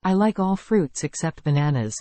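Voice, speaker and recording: Mellow voice reading English examples. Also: medium pitch, animated, no echo. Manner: clear, measured reading of short sentences. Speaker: English teacher. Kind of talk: reading example sentences aloud.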